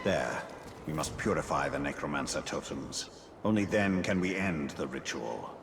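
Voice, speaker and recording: Very deep, solemn wraith lord. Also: male voice, expressive, moderate pace, vocal fry, exaggerated voice. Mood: solemn resolve. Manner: solemn, formal, commanding. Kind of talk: fantasy video game acting. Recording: loud music behind the voice.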